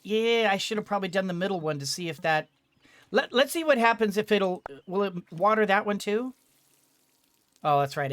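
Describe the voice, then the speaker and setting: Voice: mid-range voice, a bit bright, smooth, dry recording. A gamer giving casual let's play commentary, thinking aloud and often repeating words. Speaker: gaming video host.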